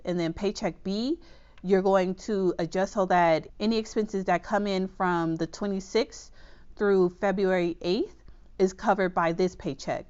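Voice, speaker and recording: A woman's voice: mid-range voice, clear, resonant tone, highs cut off. A woman hosting a budgeting channel, a little under the weather and slightly nasal, explaining a plan in a chatty, reassuring way and often checking in with "okay?". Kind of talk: casual explainer to camera.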